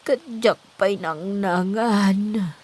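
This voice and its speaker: A woman acting as an ailing older village mother in a comedy drama, husky and very slow. She sounds modest, hesitant and plaintive. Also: mid-range voice, darkish tone. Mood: weak, grateful.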